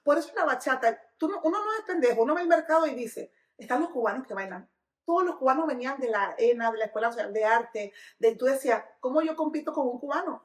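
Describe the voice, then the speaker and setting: A woman from Venezuela, a dance educator and DJ, telling stories in a lively, emphatic podcast chat. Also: sits high, reverberant. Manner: lively, emphatic storytelling with sung snippets. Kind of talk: casual podcast conversation.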